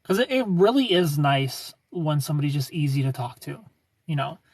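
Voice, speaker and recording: A man in his thirties hosting a casual livestream, reading viewer comments and greeting them by name in a smooth, laid-back way. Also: fairly high pitch, lively intonation, moderate pace, darkish tone, no echo. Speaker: livestream host.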